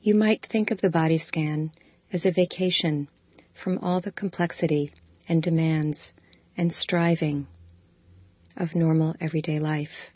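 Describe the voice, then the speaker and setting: A woman guiding a body scan meditation, giving slow, soft, calm instructions. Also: low voice, dry recording, lo-fi sound. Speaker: meditation guide.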